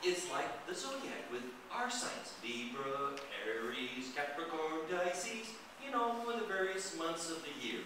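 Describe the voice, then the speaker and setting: A curious young puppet boy played by a man chatters with wide-eyed wonder in a children's puppet show. The voice is high and very animated. It is picked up from far off in a noisy hall. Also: measured pace, hardly any pauses. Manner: exaggerated, wondering, gee-whiz delivery. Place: large indoor library hall, live stage. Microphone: distant camera microphone.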